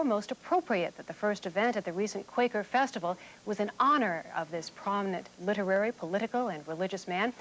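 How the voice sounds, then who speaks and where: Woman TV host, mid-pitched, faint noise. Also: rough recording. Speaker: TV host and interviewer. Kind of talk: interviewing and presenting to viewers. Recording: faint noise, older TV recording.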